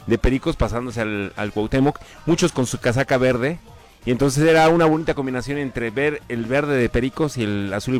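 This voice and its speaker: Rambling man talking sports. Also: medium pitch. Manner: rambling, hesitant with many fillers. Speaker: sports commentator on a radio show. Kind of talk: casual sports chat.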